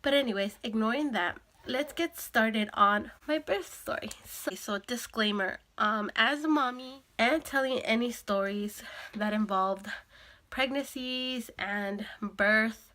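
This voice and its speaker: A woman's voice: fairly high pitch, unhurried, bright, forceful tone. A young woman vlogger with a sore throat and a congested nose, chatting casually to camera with lots of fillers. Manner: chatty, with frequent fillers and laughs.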